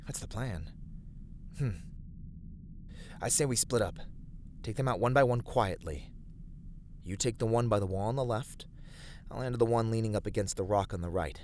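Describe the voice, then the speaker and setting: A man acts out a steadfast male adventurer in a fantasy audio roleplay. His voice sits on the low side, with measured, slightly formal phrasing that encourages and plans. Clear background ambience runs behind the voice. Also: darkish tone, slight background noise, lightly acted. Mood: hushed, tactical. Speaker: voice actor in a roleplay. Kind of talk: scripted ASMR fantasy roleplay addressed to the listener.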